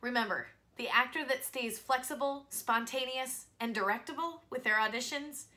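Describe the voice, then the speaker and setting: A woman in her thirties giving scripted instructional advice to camera as a voice-over coach, in an expressive presenter's delivery. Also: on the high side. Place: small home studio, indoors.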